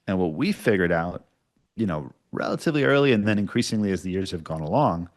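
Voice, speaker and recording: A man giving casual, explanatory interview answers, often checking in with short tags like "right?". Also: low voice. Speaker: podcast producer, interview guest.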